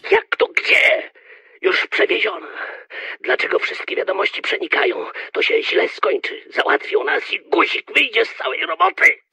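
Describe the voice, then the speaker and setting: A gruff conspirator in a radio play reports down a telephone. His voice is very raspy, with a bright edge and wildly swinging pitch. He speaks in agitated, clipped bursts. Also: huge pitch swings, normal speed, heavily acted. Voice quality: very raspy, gravelly. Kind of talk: radio drama acting.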